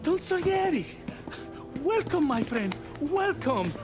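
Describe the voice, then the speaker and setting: An effusive Italian restaurant host with an Italian accent. His high voice swoops widely and takes its time, fussing eagerly over a guest in a cinematic crime game. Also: takes it slowly, full character voice. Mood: excited welcome. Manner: fawning, excitable hospitality. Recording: voice over background music.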